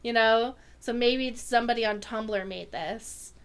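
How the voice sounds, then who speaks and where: A woman co-hosts a podcast in casual conversation. Her voice is small and babyish, pitched somewhat high. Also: measured pace, clean tone. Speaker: podcast co-host.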